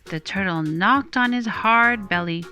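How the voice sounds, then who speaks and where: A woman reading a children's storybook aloud as storyteller, with deliberate, dramatic phrasing. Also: mid-range voice, bright, forceful tone, faint music behind.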